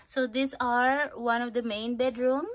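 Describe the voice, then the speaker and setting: Slow-paced middle-aged woman vlogger. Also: a little high, fairly even intonation, heavy background noise. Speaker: family vlogger. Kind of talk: casual home tour vlog narration. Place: inside and just outside an RV trailer. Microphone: phone at arm's length.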